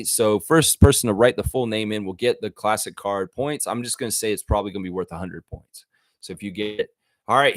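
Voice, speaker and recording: A man's voice: medium pitch, a bit bright, no room sound. A man hosting a live sports fan chat, talking informally and conversationally as he thinks aloud to his viewers.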